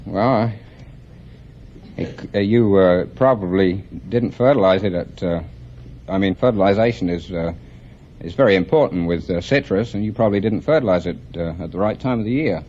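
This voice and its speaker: English-born guy, lowish voice, halting polite answers, muffled old radio quiz. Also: darkish tone. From England. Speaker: quiz contestant, nursery seed worker. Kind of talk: hesitant interview answers. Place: radio studio. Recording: muffled old 1950s radio, faint noise.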